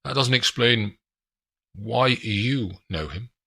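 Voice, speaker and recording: A man's voice: medium pitch, slow pace, creaky, bright, forceful tone, husky, full character voice. A man voicing a guarded former hitman who speaks in short, clipped questions in a thriller audiobook. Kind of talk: audiobook character voice.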